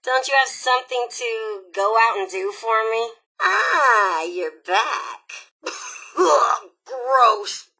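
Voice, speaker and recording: A woman's voice: fairly high pitch, takes it slowly, exaggerated voice, low-quality recording. A woman voicing a crazy, murderous female raider robot in a video game, sassy, bossy and impatient, with sarcastic, slangy delivery. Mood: flippant, disgusted. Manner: sarcastic, slangy, theatrically expressive. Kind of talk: video game character dialogue.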